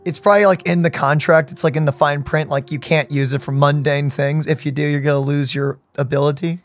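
A young adult woman giving chatty, animated casual commentary as a gaming host. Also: deep voice, fairly quick, soft background music. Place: indoor room.

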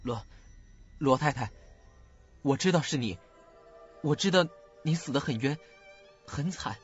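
A haunted young student in a radio thriller. The voice is fairly high and husky, with halting, dramatic phrasing. The recording is dull and lo-fi. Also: male voice, lively intonation. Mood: pleading, fearful. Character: guilt-ridden, bitter student living with mother, haunted by calls. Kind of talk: suspense radio drama acting. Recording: dull lo-fi audio, faint music.

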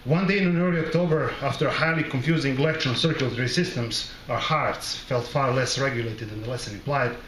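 A man's voice: medium pitch, brisk, few pauses, a bit bright. Wry middle-aged man reading in a roomy space. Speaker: author reading own short story. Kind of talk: literary reading aloud to an audience. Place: small bar with brick wall, indoors. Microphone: close microphone on a stand. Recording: roomy live recording, background noise.

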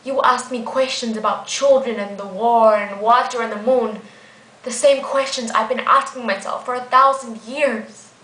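A woman performing a theatrical monologue as an ancient guardian angel, grave, weary and resolute, speaking deliberately with dramatic pauses. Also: a little high, steady tone, a bit bright, natural voice. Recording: echoey room.

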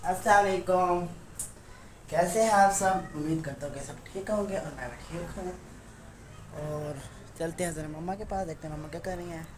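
A man's voice: sits high, fairly even intonation, normal speed, noisy background. A young man chatting casually to the camera in a family vlog at home, holding the phone. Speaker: vlogger's son. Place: home, indoors.

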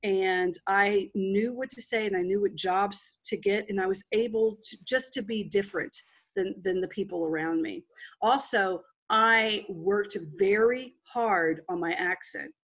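A woman's voice: medium pitch, unhurried, a bit bright. A woman in her forties, a business guest speaking frankly and at length over a video call on a headset. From Texas. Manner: frank and rambling, with restarts.

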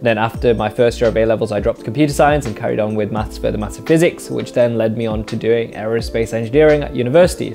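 A man's voice: medium pitch, a little mellow. A young man in his twenties from England, answering viewer questions in a rambling, casual way with lots of fillers and dry jokes, seated on a living-room sofa. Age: 20s. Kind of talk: casual Q&A monologue. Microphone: mic off-camera, seated facing camera.

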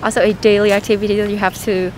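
Young woman student, halting, outdoors. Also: medium pitch, little pitch movement, low-quality recording. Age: young adult. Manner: halting, searching for words. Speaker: university student, interviewee. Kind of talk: giving casual recommendations. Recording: outdoor street noise with traffic.

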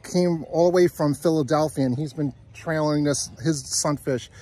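A man's voice: fairly high pitch, normal speed, mellow. A middle-aged man hosting a casual outdoor interview, talking enthusiastically while filming on a handheld phone in a park. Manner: enthusiastic, asks leading questions. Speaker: sailing vlogger interviewing a guest. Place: outdoors on a grassy park by a lake. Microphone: handheld phone at arm's length.

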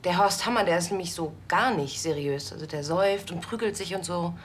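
An actress playing an enthusiastic police officer in a TV drama, talking in a chatty, colloquial way. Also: female voice, lowish voice, brisk, a little hiss or hum, lightly acted.

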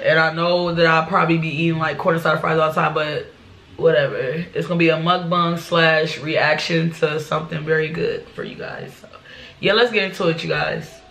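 A young woman hosting her reaction channel talks casually, with lots of "y'all" and "you know". She is stuffed up, and her voice has a rough, gravelly fry. She records on a laptop webcam in a small bedroom that gives the sound an echo. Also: sits low, fairly even intonation, moderate pace, a bit bright. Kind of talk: casual chat to viewers. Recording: echoey small room.

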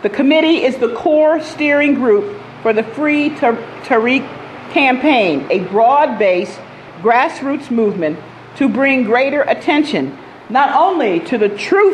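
A woman's voice: fairly high pitch, lively intonation, a little slow, a little hiss or hum. An older woman reading a speaker's introduction from notes at a podium in a community center hall. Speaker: moderator. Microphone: podium mic.

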